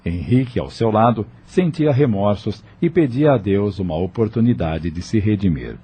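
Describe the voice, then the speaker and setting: A man's voice: lowish voice, animated, normal speed, warm tone, husky. A man narrating an audio novel in a dramatic, scripted storytelling style.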